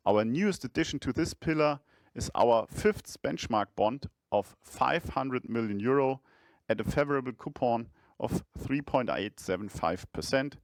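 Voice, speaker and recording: Male CFO, mid-range voice, formal measured scripted delivery for an earnings call, dry recording. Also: mid-range voice, animated, no echo. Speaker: chief financial officer presenting results.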